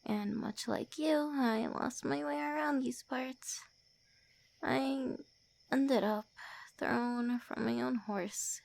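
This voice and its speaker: A woman voicing a lonely, prickly female vampire who is centuries old, in an ASMR roleplay, often speaking softly or in whispers. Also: on the high side, gravelly, exaggerated voice. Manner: tsundere, sharp then wistful.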